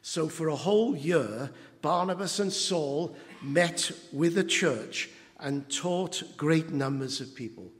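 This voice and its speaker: A man, preaching an anniversary sermon as pastor, halting with fillers and building to emphatic moments. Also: a little high. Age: 70s. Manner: slow, halting with many fillers, rising to emphatic. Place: church hall. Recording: long church reverb.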